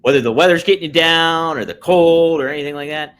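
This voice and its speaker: A man's voice: on the high side, brisk, a bit bright. A man in his late thirties co-hosting a podcast, chatting casually in an upbeat, encouraging way. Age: 30s. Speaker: podcast co-host.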